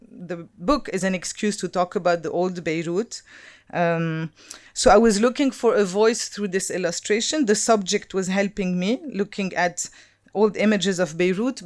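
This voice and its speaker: A woman in her thirties from Lebanon, whose first language is Arabic, speaks at a design talk. Her voice is smooth and clear, and her pitch sits in the middle. She searches for words with many pauses and fillers. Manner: thoughtful, halting, many fillers and restarts. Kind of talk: conference talk.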